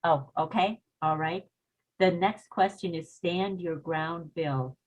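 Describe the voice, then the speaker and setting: A woman's voice: fairly low pitch, takes it slowly. A woman moderating a remote panel and reading out submitted questions. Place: remote video meeting. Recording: muffled video call audio.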